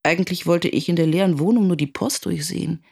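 A woman's voice: lowish voice, fast talker, gravelly, darkish tone, husky. A woman playing an anxious, angry middle-aged mother who broods in a dramatic monologue. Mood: brooding. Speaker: actress in a radio drama. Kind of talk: dramatic first-person monologue.

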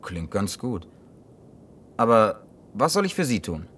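An actor dubbing an awkward, gruff man wandering alone after the end of the world, muttering curses. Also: lowish voice, very animated, a little slow, a bit bright, heavily acted. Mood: wary. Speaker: Jim, survivor on foot. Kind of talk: German film dub.

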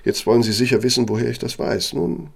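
An actor in a radio play as an aging, self-justifying architect with a Nazi past, speaking deliberately and emphatically in a gravelly, husky voice. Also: male voice, medium pitch. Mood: genial, boastful. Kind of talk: German radio play monologue and dialogue.

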